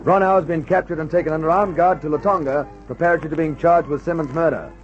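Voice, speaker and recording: A man's voice: on the high side, fairly even intonation, quick pace, loud music behind the voice, lightly acted. A man acting as the serious narrator of an old radio adventure serial, reading a dramatic script. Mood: suspenseful. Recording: dull old radio recording.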